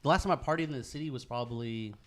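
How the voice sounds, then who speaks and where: A man's voice: medium pitch, rapid-fire, a crowd in the background. A man co-hosting a podcast in casual group chat, slangy, profane and jokey, teasing the others. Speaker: podcast co-host.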